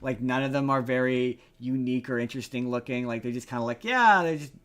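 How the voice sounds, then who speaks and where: Male podcast co-host, mid-pitched, casual and rambling, repeats phrases for emphasis. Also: fast, a bit bright. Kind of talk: casual chat reviewing movies.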